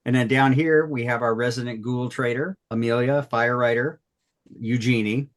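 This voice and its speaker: Male host, mid-range pitch, casual group chat and introductions. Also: fairly even intonation, normal speed. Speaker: host of an audio drama cast retrospective.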